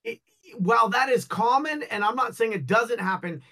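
Gender: man. Pitch: high. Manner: blunt, salty. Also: expressive, moderate pace, sharp tone. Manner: blunt, opinionated, salty language; folksy analogies. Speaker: guest on a cannabis news show. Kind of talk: casual podcast chat.

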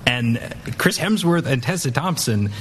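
A man chatting as a panelist on a movie talk show, haltingly feeling his way into his sentences. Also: mid-range voice, expressive, a bit bright, slight background noise. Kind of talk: casual panel discussion.

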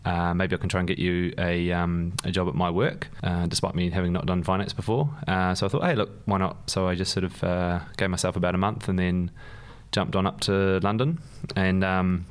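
A young man with a New Zealand accent talks as a podcast guest, his voice very low. He rambles casually, filling gaps with ums and laughing easily. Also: fairly even intonation, fairly quick, clean tone. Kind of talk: casual conversation.

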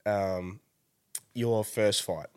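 A man's voice: lowish voice, steady tone, extremely slow, clean tone. A man hosting a podcast in casual chat, congested from a cold, friendly and rambling with fillers. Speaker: podcast host.

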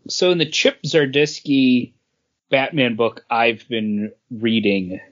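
Male co-host, mid pitch, unhurried and halting, casual talk, lo-fi audio. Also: steady tone, fairly bright tone. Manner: halting, searching for words. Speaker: podcast co-host. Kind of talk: casual comic book chat. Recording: dull lo-fi recording.

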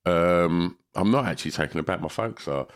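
A man's voice: deep voice, a little fast. A man, chatting on a podcast, a bit congested from a cold, rambling and swearing. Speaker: podcast co-host. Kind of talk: casual chat.

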